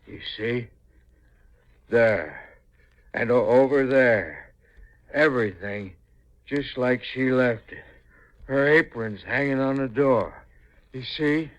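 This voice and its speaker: An actor playing a slow-witted, childlike strong man in a 1950s radio mystery, with halting, stammering, simple speech that is eager yet menacing. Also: medium pitch, close to an everyday voice. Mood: secretive, eager. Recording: muffled, noisy 1950s radio recording.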